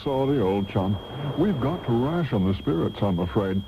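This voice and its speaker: A man acting a pompous aristocrat in a comic radio serial, breezy and callous in manner. His delivery is plummy with animated pitch swings. The old tape sounds dull, with clear noise. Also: normal speed, lightly acted. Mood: cheerfully callous. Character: pompous, callous upper-class gentleman, breezily selfish.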